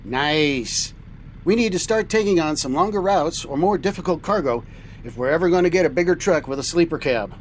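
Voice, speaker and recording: A man's voice: on the high side, animated, brisk, slight background noise, lightly acted. A man playing an easygoing, enthusiastic trucker captain who hosts a semi-scripted radio-serial roleplay. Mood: upbeat, easygoing. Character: upbeat male space-cadet style trucker captain. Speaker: host.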